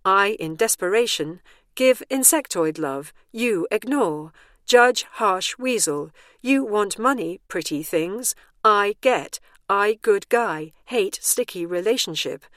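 A woman's voice: mid-range voice, lively intonation, takes it slowly, a bit bright, no room sound, studio quality, pitched above the performer's own voice. A woman voicing a lusty, pleading male insect alien who woos in stilted broken English, in an audiobook story. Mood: aggrieved pleading.

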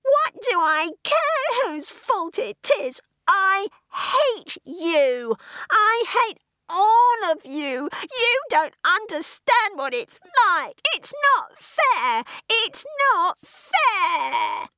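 A bitter teenage girl's voice, trapped inside someone's head. She whines, sneers and sobs, her tone piercing and bright as it leaps wildly up and down. She draws her words out slowly and dramatically. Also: extremely high pitch, very animated, no echo, pitched above the performer's own voice, heavily acted. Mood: shrieking. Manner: whining, sneering, sobbing outbursts. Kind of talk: audiobook character voice.

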